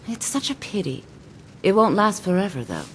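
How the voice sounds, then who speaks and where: A woman's voice: medium pitch, big pitch swings, moderate pace, a little hiss or hum, close to an everyday voice, low-quality recording. A woman voicing a seductive, bored townswoman in a fantasy game, languid and insinuating with sly disdain. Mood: sly, disdainful.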